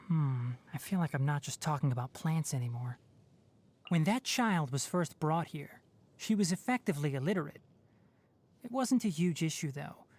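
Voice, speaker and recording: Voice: medium pitch, a little mellow, lightly acted. Casting: actor to play a youthful male fox-eared forest scholar for a game, articulate, lecturing, dry-witted. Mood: reflective, informative, then earnest, advising. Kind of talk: scripted game character voice lines.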